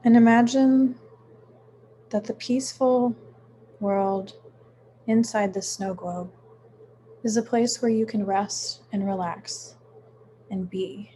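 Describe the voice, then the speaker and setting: Slow, mellow woman guiding a meditation. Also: medium pitch, fairly even intonation, a little hiss or hum. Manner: soothing, unhurried, with frequent pauses. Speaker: meditation guide. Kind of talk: guided meditation, gentle instruction.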